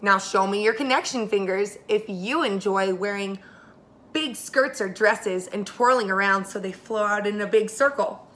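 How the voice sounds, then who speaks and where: A woman preschool teacher reading a story aloud to young children, expressive and speaking to them directly. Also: mid-range voice, normal speed.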